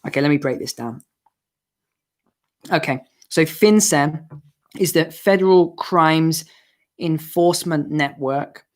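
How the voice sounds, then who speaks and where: Male host, hoarse, live Q&A stream, chatty explainer. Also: a little high, slow pace, pauses often. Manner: explanatory, chatty, with restarts and asides. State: hoarse, losing his voice. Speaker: finance channel host. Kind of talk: live-stream Q&A, answering viewer questions.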